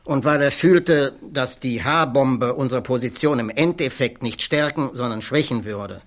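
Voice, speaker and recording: An actor plays a male physics professor on the witness stand in a radio drama. His voice sits at an ordinary male pitch. He speaks bluntly and wryly, with an irreverent, outspoken streak. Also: unhurried, lightly acted. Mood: frank. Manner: wry, outspoken, swears casually. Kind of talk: radio play dialogue.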